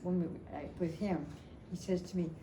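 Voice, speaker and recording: Older woman, slightly low voice, chatty and halting personal storyteller, recorded with clear background noise. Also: fairly even intonation, echoey room. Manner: halting, repeats phrases, chatty. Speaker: storyteller at a family memorial. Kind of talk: casual personal storytelling to a gathering.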